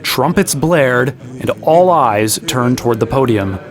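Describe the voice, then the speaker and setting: A man's voice: medium pitch, animated, a little slow, faint music behind, close to an everyday voice. A young actor playing an earnest fantasy hero, telling his adventure in conversational first person in an audio drama. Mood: matter-of-fact storytelling. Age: young adult.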